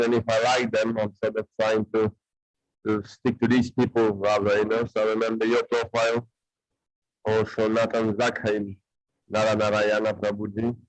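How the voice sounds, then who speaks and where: A man's voice: on the low side, dry recording, low-quality recording. A man in his forties from Poland with a Polish accent, speaking hesitantly and searching for words on a video call. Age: 40s. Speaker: guest participant. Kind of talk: casual video-call conversation.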